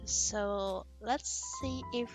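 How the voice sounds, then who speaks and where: A woman streamer chatting casually as she reacts to language lessons, laughing and slowly repeating phrases. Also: mid-range voice, over music, rough recording.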